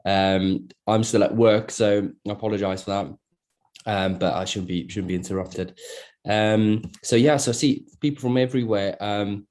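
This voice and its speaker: A middle-aged man from South Africa, a plastic surgeon giving a relaxed online lecture over a video call, with many fillers and restarts. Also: lowish voice, normal speed. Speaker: plastic surgeon presenting a webinar. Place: at work, speaking into a computer.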